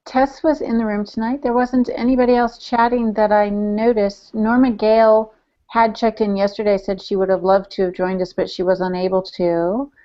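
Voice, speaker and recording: A woman's voice: medium pitch, level tone, normal speed, warm tone. A woman co-hosting a podcast, chatting casually as she relays listener comments. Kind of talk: casual chat. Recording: muffled lo-fi podcast audio.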